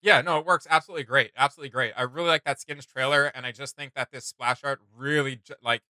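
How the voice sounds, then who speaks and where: A young man chatting casually as a reaction video co-host, enthusiastic and animated, with slangy phrasing. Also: medium pitch, quick pace, no echo, clean studio sound. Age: young adult. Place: small indoor room with gaming setup.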